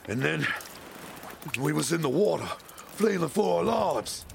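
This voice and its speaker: Gravelly, humble sailor. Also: male voice, fairly high pitch, expressive, slight background noise, full character voice. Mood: shaken. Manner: halting, rustic sailor grammar. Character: weary, humble male pirate crewman. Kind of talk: audio drama acting.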